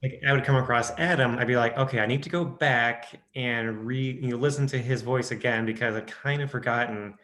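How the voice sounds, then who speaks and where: A man's voice: mid-range voice, steady tone, a little fast, few pauses, a bit bright. A man with a Midwestern American accent, an audiobook narrator chatting in an interview, hesitant with many restarts and fillers. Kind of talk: casual interview chat.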